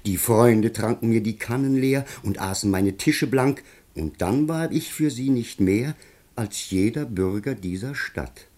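Man playing a husky, humble poor citizen. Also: medium pitch, unhurried, dark tone, natural voice. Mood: grateful, wistful. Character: humble, poor, grateful good-hearted Greek citizen. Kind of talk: theatrical German radio play acting.

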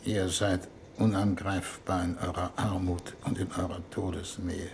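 An actor playing a self-justifying, sentimental foreign minister in formal, hesitant radio play dialogue. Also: male voice, low-pitched, flat delivery, a little slow, vocal fry, a little mellow. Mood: solemn, moved. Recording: noisy 1960s radio drama recording.

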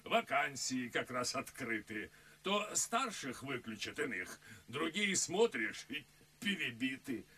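A curt boastful army colonel. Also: male voice, mid-range voice, sing-song, takes it slowly, sharp tone, slightly rough, exaggerated voice. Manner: curt, boastful soldierly talk. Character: blunt self-satisfied army colonel. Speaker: actor. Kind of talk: classic radio play acting.

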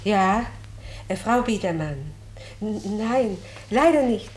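An actress playing a reproachful, anxious middle-class housewife in 1950s German radio drama style. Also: female voice, mid-range voice, animated, slow pace, a little mellow. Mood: nervous. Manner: questioning, reproachful. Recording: noisy vintage 1950s radio recording.

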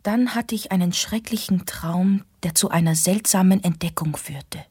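An actress playing a melancholy, ailing young gentlewoman who tells her gothic story in a radio drama. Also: fairly low pitch, normal speed, breathy edge, dry recording. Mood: weary, haunted. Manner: hushed, languid delivery. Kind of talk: German radio play acting and first-person narration.

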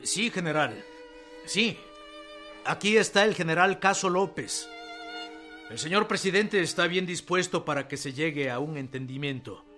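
An actor playing an earnest government envoy in a radio drama, formal, respectful and insistent, with a Mexican accent. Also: male voice, on the high side, moderate pace, sharp tone, faint music behind. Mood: anxious.

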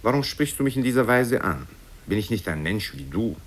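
A man's voice: sing-song, a little fast. A man voicing a curt, imperious monarch in a satirical story, commanding and condescending. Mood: indignant. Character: curt, imperious male monarch of a fantasy kingdom. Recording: rumbling, noisy old radio tape.

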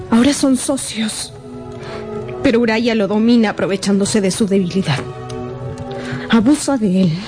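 Gentle, slow-spoken young woman. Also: fairly high pitch. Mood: subdued. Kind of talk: Spanish-language radio drama acting. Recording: clear music behind the voice.

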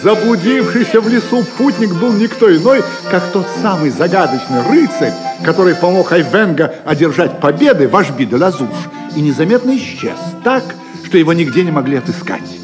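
An actor as narrator, telling a medieval adventure in scripted, dramatic storytelling style. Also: male voice, high-pitched, expressive, brisk, few pauses. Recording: long reverb with loud music.

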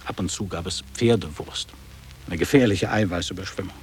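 A man acts a middle-aged former boarding-school pupil in a literary radio play. His voice sits fairly low and he reminisces in clipped, declamatory phrases, with clear noise behind him. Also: brisk, close to an everyday voice. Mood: wistful recollection. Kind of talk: literary German radio drama monologue.

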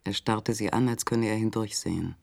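An actor narrating a literary German radio play as a sober, measured third-person storyteller. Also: male voice, on the low side, steady tone, gravelly.

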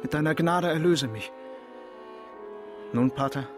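Higher-voiced volatile male penitent played by an actor. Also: a little slow, over music, lightly acted. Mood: urgent remorse. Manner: intense, coarse, confessional. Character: guilt-ridden, volatile criminal confessing to a priest. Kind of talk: radio drama acting.

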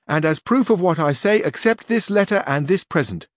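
A man voicing a quick-witted young page in a storybook reading, talking in a raised, courtly, eager way. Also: high voice, lively intonation, no echo, clean studio sound, voice pushed up.

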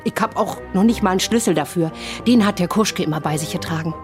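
A woman's voice: on the low side, quick pace, music in the background, natural voice. An actress playing a naive, accommodating landlady in a radio drama, talkative and a little hesitant. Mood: confiding, flattered. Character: chatty, naive, accommodating landlady, easily flattered.

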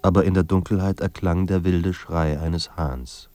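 Low, somber male storyteller. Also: fairly even intonation, warm tone, no echo. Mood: somber, restrained. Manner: grave, measured, literary. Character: somber man narrating a despairing writer's life. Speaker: narrator. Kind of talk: literary radio drama narration.

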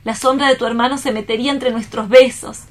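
Woman acting a young lady in love, radio drama, kinda high voice, earnest, full of remorse and anguish. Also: fairly even intonation, close to an everyday voice. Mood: resolute, remorseful. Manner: earnest, melodramatic, deliberate phrasing. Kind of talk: staged radio theatre reading.

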